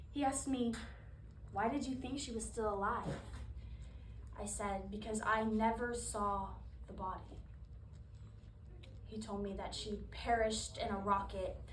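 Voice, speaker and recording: A woman performing a wistful, grieving daughter in a dramatic monologue, speaking in a subdued, confessional, halting way. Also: a little high, close to an everyday voice. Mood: hurt, defiant. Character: wistful woman recalling childhood grief for her astronaut mother. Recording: echoey room, distant mic, background noise.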